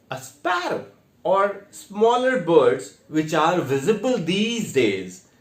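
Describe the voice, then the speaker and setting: A man with an Indian accent giving a theatre lesson in a deliberate, step-by-step way with emphatic stressed words. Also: on the high side. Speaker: theatre teacher. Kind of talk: instructional online lesson with demonstrations. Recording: echoey, reverberant room.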